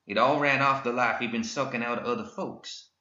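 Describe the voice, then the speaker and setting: A cantankerous old small-town man, voiced by a male narrator in an audio story, speaking in slow, folksy grunts. The voice is recorded in a roomy, slightly dull sound. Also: mid-range voice, sharp tone, close to an everyday voice. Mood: grim, vindicated. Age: older. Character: gruff old townsman, cantankerous and vindicated.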